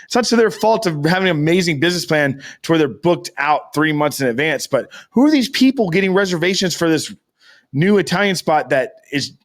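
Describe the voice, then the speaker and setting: A man's voice: a little high, lively intonation, fairly quick. An American man chatting casually as a podcast co-host, rambling and emphatic, with slangy talk and free swearing.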